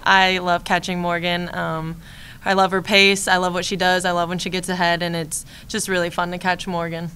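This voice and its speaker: A young woman in her twenties, a college softball catcher, answering postgame press questions at a desk mic, calm and steady, repeating key phrases. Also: on the low side, normal speed, slight background noise. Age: 20s. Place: press room in front of a team backdrop. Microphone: desk mic on a stand.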